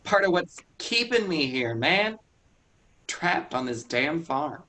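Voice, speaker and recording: A man's voice: fairly high pitch, sing-song, slow pace, sharp tone, heavily acted. A man playing a sullen young farm man who speaks in a bitter, snappish way. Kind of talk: staged play reading.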